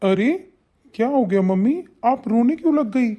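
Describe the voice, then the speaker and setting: A woman's voice: lively intonation, moderate pace, warm tone. A woman voicing a devoted, protective adult son in a melodramatic family cartoon, with an emphatic, insistent manner. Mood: concerned. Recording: dull, low-fidelity sound.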